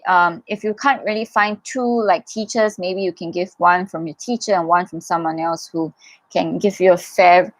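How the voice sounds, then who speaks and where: A woman's voice: lowish voice, steady tone, normal speed, no room sound, rough recording. Chatty young woman hosting a livestream. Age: young adult. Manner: chatty and encouraging, many fillers and self-corrections. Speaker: livestream host answering scholarship questions. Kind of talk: casual livestream Q&A, reading viewer comments aloud.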